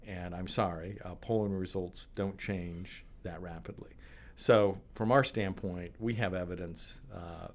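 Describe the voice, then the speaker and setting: A man giving measured, considered answers in a panel interview, with frequent hesitations. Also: low-pitched, darkish tone. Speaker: pollster.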